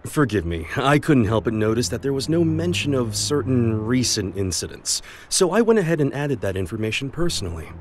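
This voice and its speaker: An actor voicing a meticulous male official in a game, polite, precise and smoothly diplomatic. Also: mid-range voice, big pitch swings, fairly quick, hardly any pauses, a little hiss or hum, lightly acted. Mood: polite, self-assured. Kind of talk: dramatic English game dub. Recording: music behind the voice.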